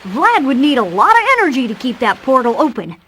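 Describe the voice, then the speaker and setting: High, bookish boy genius. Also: sing-song, brisk, noisy background. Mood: thoughtful. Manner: bookish, precise, eager. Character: precise, curious boy genius inventor, child. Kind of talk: cartoon video game acting.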